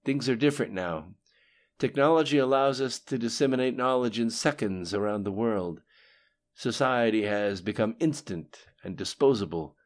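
A man voicing a smooth, deferential male schemer in an audiobook, with a formal and measured manner. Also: medium pitch, pitched above the performer's own voice, natural voice. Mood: cynical. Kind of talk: audiobook character dialogue.

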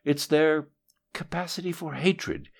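A man voicing a smooth, deferential male schemer in an audiobook, with a formal and measured manner. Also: on the high side, voice pushed up. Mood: cynical. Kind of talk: audiobook character dialogue.

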